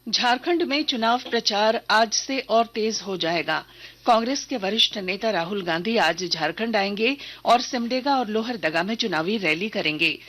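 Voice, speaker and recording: A woman's voice: mid-range voice, animated, normal speed, a bit bright. A woman news reader delivering a formal, scripted regional radio bulletin.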